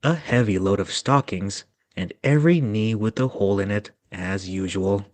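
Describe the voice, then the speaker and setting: A man's voice: fairly low pitch, moderate pace, no room sound. A man narrating a storybook dramatically as part of a group reading.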